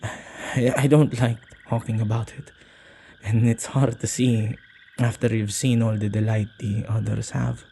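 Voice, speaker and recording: A man acting a soft-hearted male witch who keeps ghosts, in an ASMR roleplay, with a halting, gently apologetic manner and slightly archaic wording. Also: lowish voice, level tone, creaky, a little hiss or hum. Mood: somber, hesitant.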